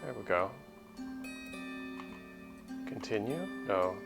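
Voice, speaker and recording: A man livestreaming a game, chatting casually and reading story text aloud in a measured, literary way. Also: fairly low pitch, lively intonation, creaky. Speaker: game streamer. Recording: background music under the voice. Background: music.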